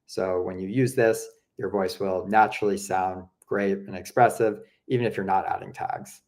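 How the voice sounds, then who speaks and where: Relaxed, low-pitched man explaining software. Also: moderate pace. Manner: relaxed, chatty, many fillers like "you know" and "um". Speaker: product presenter. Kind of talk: casual explanatory walkthrough.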